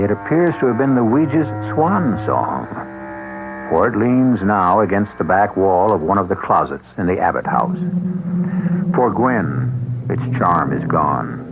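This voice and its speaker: A man hosting and narrating a radio mystery show in a measured, ominous storytelling manner. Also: low-pitched, very animated, noisy background. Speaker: radio drama host and narrator. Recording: tinny old radio broadcast with music.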